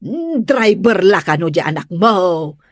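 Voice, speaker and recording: A woman's voice: on the low side, big pitch swings, measured pace, dry recording, studio quality. A woman playing a gossipy busybody neighbor who carries tales and goads people in an Ilocano comedy drama. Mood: goading. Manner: gossipy, goading. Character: busybody neighbor woman relaying gossip between feuding mothers.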